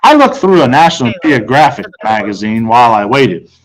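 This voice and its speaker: A man's voice: mid-range voice, sing-song, normal speed, rough recording. American man reading a children's story aloud. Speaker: teacher reading aloud to class. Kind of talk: audiobook-style read-aloud of children's novel, first person.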